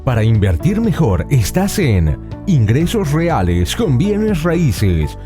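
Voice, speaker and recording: A man reading a slow promotional show announcement over music. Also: medium pitch, wildly swooping pitch.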